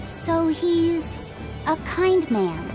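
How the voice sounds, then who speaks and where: Serious young adventurer, fantasy game: high voice, measured slow pace, reserved. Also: female voice, sing-song, over music, exaggerated voice. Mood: curious, reserved. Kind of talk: English-dubbed fantasy game acting.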